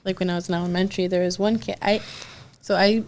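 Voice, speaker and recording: A woman's voice: on the low side, fairly even intonation, quick pace, a little mellow. A young woman interviewing casually, chatty and full of "like" fillers. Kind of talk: casual conversation. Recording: faint background noise.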